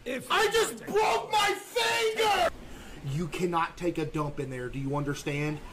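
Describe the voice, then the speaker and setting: A man streaming games with loud, excitable livestream commentary. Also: high-pitched, measured pace, heavy background noise. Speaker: game streamer.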